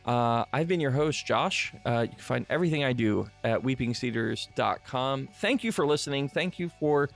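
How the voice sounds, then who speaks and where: A man's voice: mid-range voice, animated, normal speed, creaky, few pauses, faint music behind. A man hosting a podcast solo, talking casually and informally to listeners in a self-deprecating way. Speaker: podcast host.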